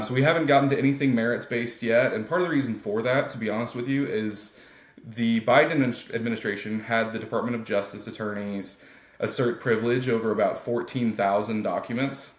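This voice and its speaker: An American man giving informal, explanatory answers as an attorney being interviewed. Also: fairly low pitch. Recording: roomy, echoey sound.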